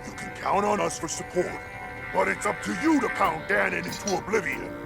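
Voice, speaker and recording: A very gravelly, raised male voice plays a big, boisterous fantasy game champion. He talks bluntly in rousing, folksy phrases, with music behind him. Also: high voice, fairly quick. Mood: rousing, commanding. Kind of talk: dramatic video game cutscene acting.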